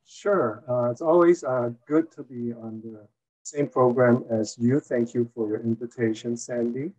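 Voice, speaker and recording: Man, 60s, interview guest, slow pace, mid pitch, measured, hesitant pauses. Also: steady tone, a little mellow, rough recording. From Hong Kong. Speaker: guest, former mayor and retired college teacher. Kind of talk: interview answer, recounting his career.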